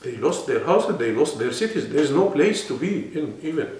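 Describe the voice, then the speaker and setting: A man's voice: on the high side, big pitch swings, moderate pace, a little mellow, slight background noise. A man with an Arabic accent, speaking non-native English and explaining earnestly as a guest in a serious interview. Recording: echoey room, mic at a distance.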